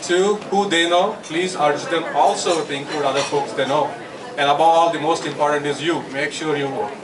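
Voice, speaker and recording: Man introducing a speaker, urgent and rallying, fairly high voice, distant roomy recording with crowd. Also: normal speed. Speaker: election officer, event speaker. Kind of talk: introductory speech. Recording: echoey hall, mic far off, crowd.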